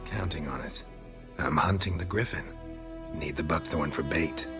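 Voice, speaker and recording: An actor playing a gruff, world-weary mercenary monster hunter in a video game, terse and deadpan with a gravelly voice. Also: male voice, normal speed. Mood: dry. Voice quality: low gravelly. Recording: loud music behind the voice. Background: loud music.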